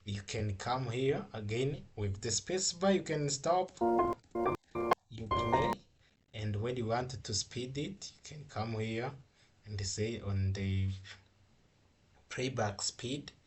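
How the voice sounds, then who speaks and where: A man who is a non-native English speaker, giving an informal software tutorial in a halting, repetitive, step-by-step way. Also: mid-range voice, animated, over music. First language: not English. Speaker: coding tutorial instructor.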